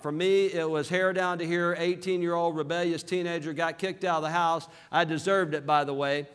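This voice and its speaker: High-voiced Southern man on a stage. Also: clean tone. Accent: Southern American. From southern Alabama, USA. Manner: energetic, calls for audience response. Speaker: business seminar speaker. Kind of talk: motivational seminar talk. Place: large hall with live audience. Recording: reverberant hall.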